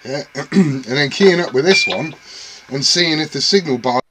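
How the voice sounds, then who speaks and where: A man's voice: a little high, normal speed, background noise. A man rambling casually and self-deprecatingly to camera about his radio gear at home. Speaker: CB radio hobbyist vlogger.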